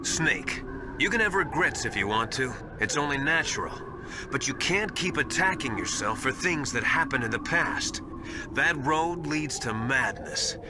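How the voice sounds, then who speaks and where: An actor playing a seasoned military mentor in a video game, giving stern, deliberate, emphatic counsel. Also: male voice, mid-range voice, expressive, background noise, lightly acted. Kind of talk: video game cutscene acting.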